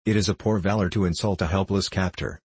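A man's voice: sits low, fairly even intonation, quick pace, a little mellow, slightly rough, no echo. A man voicing a young French gambler in an audiobook, with a French accent and broken English, courtly, taunting and self-assured. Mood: dignified. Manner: broken English, courtly, theatrical chuckling asides. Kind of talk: audiobook character voice.

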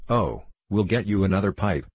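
A man voicing a polite, genteel young lady who speaks gently and courteously. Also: deep voice, expressive, normal speed, breathy edge, dry recording. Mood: solicitous.

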